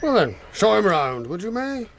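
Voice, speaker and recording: An actor playing a courteous, elderly country gentleman in a radio drama, hesitant and uneasy beneath his good manners. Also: high-pitched, sing-song, moderate pace, vocal fry, slight background noise, heavily acted. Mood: cordial. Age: older.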